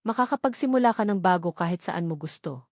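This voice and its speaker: A woman's voice: on the low side, animated, fast talker, a little mellow, no echo, studio quality. Woman narrating as a wealthy, insistent businessman. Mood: pleading. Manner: pleading turning businesslike and insistent. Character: wealthy, arrogant businessman, a former lover, pressing an offer. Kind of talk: dramatic audiobook storytelling.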